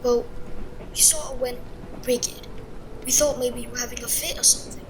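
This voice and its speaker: A woman playing a blunt, incredulous young schoolboy wizard in an audio drama, with a husky voice and colloquial manner. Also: on the high side, steady tone, normal speed, background noise, lightly acted. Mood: uneasy. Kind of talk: fan audio drama acting.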